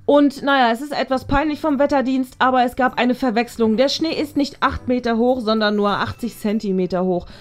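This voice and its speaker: Woman as apologetic school official on announcements, high-pitched, formal, hesitant delivery. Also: a little fast, few pauses, lightly acted. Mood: apologetic, reassuring. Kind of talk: school announcement in a comedy skit.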